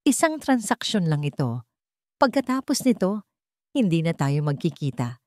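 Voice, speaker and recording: A woman's voice: fairly low pitch, big pitch swings, normal speed, dark tone, no echo, clean studio sound. A woman voicing a curt, impatient rich male CEO with a cold, businesslike manner in a radio drama story. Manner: clipped, cold, commanding.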